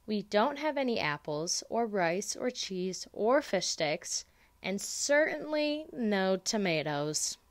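Woman as scheming older-brother boy, assured and coaxing, picture-book read-aloud, expressive pitch, dry close sound. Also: slow, no room sound. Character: crafty, persuasive older brother, a young boy.

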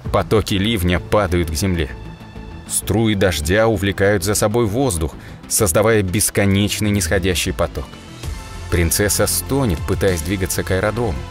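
A man reading a dramatic first-person story as an audiobook narrator, expressively and in short measured phrases. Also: low voice. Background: music.

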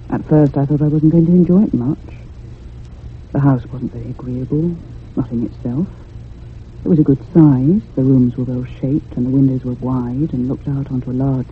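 An actress playing a depressed, lonely woman writer in her thirties, speaking in a measured, confiding in a radio drama. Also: low-pitched, slight background noise, natural voice. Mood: reflective. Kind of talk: radio drama acting and first-person narration. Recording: muffled lo-fi old radio recording.

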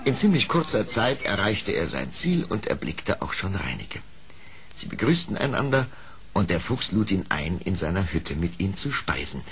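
A man narrating a scripted radio play story in an expressive storytelling manner. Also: deep voice, moderate pace.

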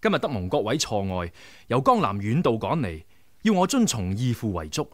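An actor playing a young rebel leader who speaks humbly and formally, in a radio drama. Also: male voice, medium pitch, huge pitch swings, moderate pace, dry recording, studio quality. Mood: humble. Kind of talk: 1990s radio drama acting.

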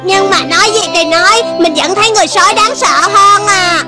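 Very high-pitched childlike robot cat. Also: female voice, a little fast. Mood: playful, explaining. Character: childlike robot cat, excitable, anxious friend. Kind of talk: Vietnamese cartoon dubbing. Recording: music behind the voice.

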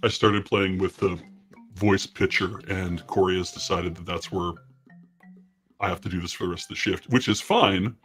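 A middle-aged man hosts a casual livestream in a low voice, artificially deepened by a voice modulator. Faint music plays behind him. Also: fairly even intonation, moderate pace, a bit bright, breathy edge. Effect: voice modulator pitching the voice down. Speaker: charity stream host. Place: indoor room, livestream from a seat.

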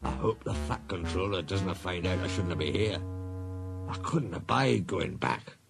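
A man's voice: fairly high pitch, huge pitch swings, measured pace, voice pushed up, exaggerated voice. A man voicing a cheeky, proud Scottish male steam engine with canny dialect banter in a children's story. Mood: worried.

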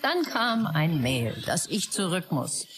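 A woman's voice: lowish voice, very animated, slow, a loud crowd. An actress playing a controlling, lovesick female tech-company executive in an audio drama, as if reading out her e-mails. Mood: longing, weary. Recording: music behind the voice. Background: music.

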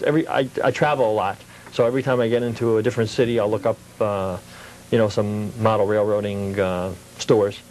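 A man's voice: mid-range voice, darkish tone, slight background noise, rough recording. A man speaking as an interview guest, telling things in a casual, conversational way. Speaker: interview guest, hobby collector.

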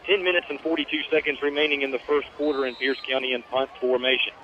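A man with an American accent calling live football play-by-play on the radio, with excitable, emphatic delivery. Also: medium pitch, fast talker, dull audio, slight background noise. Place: outdoor high school football stadium at night.

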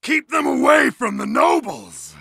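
An actor playing a savage bearded medieval berserker in a game, barking battle commands in a gravelly, raspy roar. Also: male voice, very high-pitched, animated, a little slow, a bit bright, no echo, clean studio sound, heavily acted. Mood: barked orders. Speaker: game soldier character.

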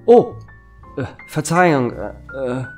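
A man's voice: mid-range voice, big pitch swings, takes it slowly, darkish tone, soft background music, pitched above the performer's own voice. A man playing a good-natured, polite car salesman who gets easily flustered in an audio drama. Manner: polite, stammering when flustered.

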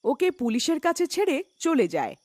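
Lively, high-voiced woman storyteller. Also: moderate pace, no echo. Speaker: narrator. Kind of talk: scripted cartoon story narration.

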